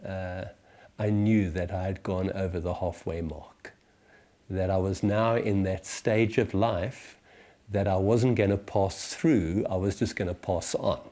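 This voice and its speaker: Man in his sixties, South African accent, dark relaxed tone, unhurried pace, hesitant pauses, reflective church teaching. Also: sits low. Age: 60s. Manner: measured, deliberate, with frequent hesitations and pauses. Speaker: church teacher leading a series. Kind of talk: reflective spoken teaching for small groups.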